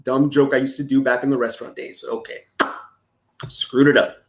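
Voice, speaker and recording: A man's voice: mid-range voice, little pitch movement, normal speed, gravelly, echoey room, slight background noise. A man from California talking crude and jokey on a casual livestream, easily flustered. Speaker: streamer reacting to videos.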